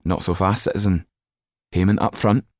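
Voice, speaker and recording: A man's voice: deep voice, steady tone, normal speed, no echo, studio quality, voice pushed up. A man voicing a smirking, officious prison clerk in an audiobook, with a mocking manner. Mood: firm, mocking.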